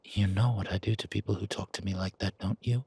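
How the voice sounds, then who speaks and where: A man playing a genteel, patronizing, quietly menacing leader in an audio drama, husky and gravelly, softly chiding in a measured way. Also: lowish voice, steady tone, no room sound. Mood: quiet menace. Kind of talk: audio roleplay drama acting.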